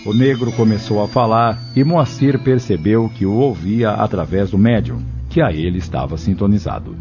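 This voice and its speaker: A male narrator reads a scripted audio drama in a low, mellow voice. The recording is a little dull, with faint music behind him. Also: normal speed.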